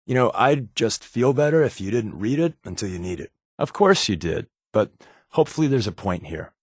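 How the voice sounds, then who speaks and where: A man's voice: mid-range voice, expressive, normal speed, breathy edge, no room sound, studio quality. A man playing an easygoing, wisecracking secret agent with hidden guilt in a video game, talking in a wry, casual, conversational way. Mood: wry, earnest. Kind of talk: video game companion dialogue.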